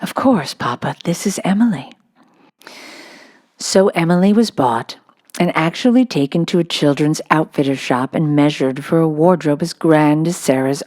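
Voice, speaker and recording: Woman reading a kids novel out loud, low voice, storybook narration. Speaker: storybook reader. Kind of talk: scripted narration of a children's novel.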